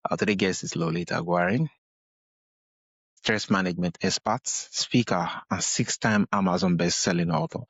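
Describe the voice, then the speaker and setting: A man's voice: low voice, slightly rough, dry recording. A man hosting an interview podcast, speaking hesitantly with many uhs and repeating words of praise. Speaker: podcast host. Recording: dull lo-fi dry mic.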